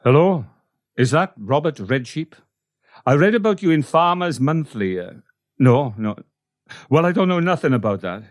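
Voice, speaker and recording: A man's voice: a little high, no room sound, clean studio sound. A man playing an awkward, plain-spoken Welsh sheep farmer in a comic radio play. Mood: awkward, eager. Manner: hesitant, matter-of-fact.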